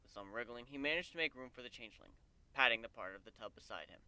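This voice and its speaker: Bright, crisp male story narrator. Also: medium pitch, animated, quick pace. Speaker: narrator of a fan fiction reading. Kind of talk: scripted dramatic story narration.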